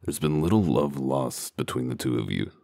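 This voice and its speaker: A man playing a gruff, cynical, humorless wolf detective in a comic dub, with a deadpan, blunt growl. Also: normal speed, dry recording, studio quality, exaggerated voice. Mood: dry, blunt. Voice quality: very deep, gravelly growl. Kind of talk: dramatic comic dub acting.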